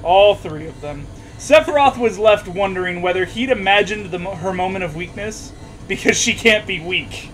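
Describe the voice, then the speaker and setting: A man's voice: high-pitched, lively intonation, normal speed, fairly bright tone, rough recording. A man hosting a mocking read-aloud, sarcastic, slipping between reading and casual banter and voicing punctuation marks. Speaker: host reading fan fiction aloud.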